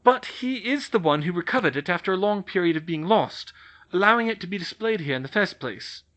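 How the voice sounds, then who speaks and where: A man's voice: sits high, lively intonation, brisk, a bit bright, dull audio, voice pushed up. A man voicing a stern older female headmistress who speaks icily and formally. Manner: crisp, icy.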